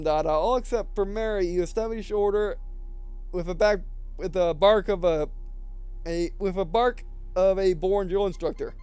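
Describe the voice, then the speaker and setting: A man doing a let's play, reading game text aloud haltingly, often stumbling and repeating phrases, with casual comments. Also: high-pitched, warm tone, smooth, no room sound. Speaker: gaming video host reading a visual novel aloud.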